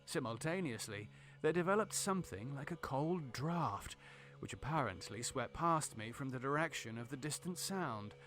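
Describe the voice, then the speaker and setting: A man reading literary horror as a dramatic narrator, in a measured, grave and deliberate way. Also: medium pitch, expressive, a bit bright. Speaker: narrator, audiobook reader.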